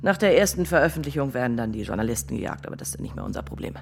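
A cold, sneering conspirator. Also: male voice, mid-range voice, very animated, racing, creaky, a little hiss or hum. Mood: scheming. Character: cynical male conspirator planning corruption.

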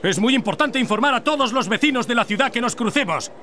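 An actor playing an indignant union leader in a radio drama, speaking in an urgent, rallying way. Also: male voice, very high-pitched, expressive, fast, pushed and bright, full character voice. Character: fiery male union worker leader.

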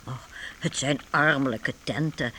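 A woman narrating a medieval adventure in a radio play, with a husky voice and expressive storytelling delivery. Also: a bass voice, measured pace, a little hiss or hum, heavily acted. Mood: measured storytelling.

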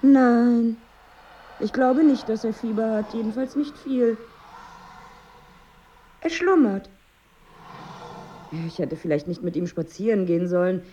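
Melancholy wife, radio play, dark mellow tone, weary and wry, noticeable ambient noise. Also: female voice, a little high, animated, a little slow, long pauses, highs cut off. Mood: falsely cheerful. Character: melancholy, bitter married woman on a joyless Christmas holiday. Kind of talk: radio drama monologue and dialogue.

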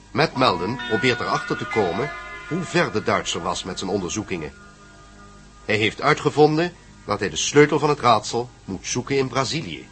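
Guy narrating a radio serial, lots of up and down in the voice, music underneath. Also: mid-range voice. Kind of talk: scripted radio drama narration.

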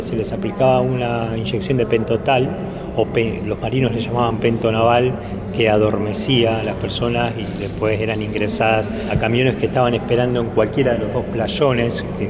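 A man with an Argentine accent explaining things as a tour guide. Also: lowish voice. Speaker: memorial site tour guide. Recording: live on site amid loud crowd noise. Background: loud crowd noise.

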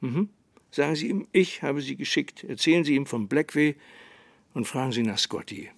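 A laconic country sheriff in a radio drama. His voice has a gravelly fry and medium pitch, recorded very dry. He sounds measured, calm and resigned. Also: no echo. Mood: laconic, guarded. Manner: dry, measured, hesitant hums.

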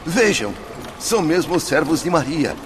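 A man's voice: on the high side, expressive, normal speed, background noise, natural voice. A man playing a hopeful, eager lost soul in a radio drama. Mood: excited. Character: hopeful, eager male suicide soul awaiting rescue.

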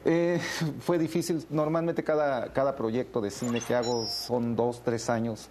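A man with a Mexican accent talks as an interviewed film director. His voice is medium in pitch, and he explains things in a casual storytelling way. There is a little noise in the background. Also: level tone, a little slow, a little mellow. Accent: Mexican Spanish.

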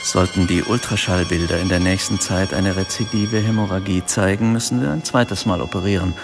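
A hospital surgeon in a radio play. He is sober, formal and clinical, with a rather low voice. Music plays clearly underneath. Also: fairly quick. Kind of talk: radio drama acting.

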